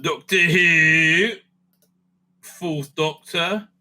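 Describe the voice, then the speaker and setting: A man giving casual, rambling fan commentary as he ranks things, excitable and sweary, sometimes muttering to himself. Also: a little high, extremely slow. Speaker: host of a fan ranking show.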